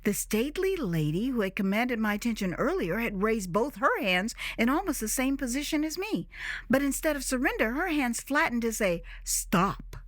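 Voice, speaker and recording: An American woman narrating a first-person audio drama in a theatrical, expressive way with dramatic pauses. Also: on the high side, dry recording, clean studio sound. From United States.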